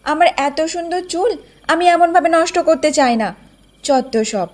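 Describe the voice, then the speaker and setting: A woman's voice: very high-pitched, moderate pace. A woman voicing a vain, haughty young village wife in a cartoon folktale, boastful and scolding. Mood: indignant, scolding. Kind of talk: Bengali cartoon folktale acting.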